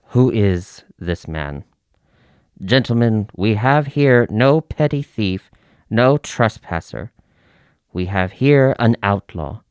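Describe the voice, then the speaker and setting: An actor playing a stern prosecutor in an audio drama, measured and declamatory as he accuses. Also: medium pitch, clear, resonant tone, dry recording, studio quality. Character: accusing, rhetorical male prosecutor addressing a jury.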